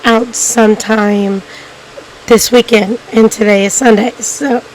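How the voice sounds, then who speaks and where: A woman with a hoarse, cracking voice, hosting a documentary-style video and talking casually to viewers, rambling with many ums and restarts. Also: on the high side, fairly even intonation, slow, darkish tone, slight background noise, poor audio. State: hoarse, losing her voice. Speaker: YouTube documentary host. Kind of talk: casual unscripted narration to viewers.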